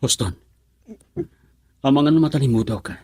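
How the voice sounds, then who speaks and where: A man's voice: medium pitch, normal speed, darkish tone, slightly rough, natural voice. A man playing a grave, concerned character who consoles someone in a radio drama. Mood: concerned, consoling. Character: grave, concerned man breaking news of a death. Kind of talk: Ilocano radio drama acting.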